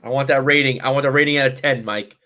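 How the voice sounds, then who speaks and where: A man's voice: medium pitch, steady tone, fast talker, bright, forceful tone. Casting: young male co-host, easygoing, jokey, rambles. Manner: joking, rambling, laughs a lot. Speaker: podcast co-host. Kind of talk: casual chat.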